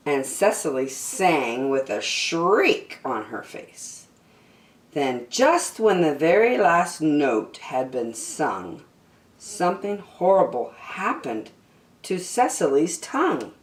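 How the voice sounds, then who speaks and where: Woman doing storytime for kids, super expressive up and down, takes her time, bit echoey. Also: low voice. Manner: expressive, drawn-out emphasis on key words. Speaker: children's story reader. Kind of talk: animated picture book read-aloud.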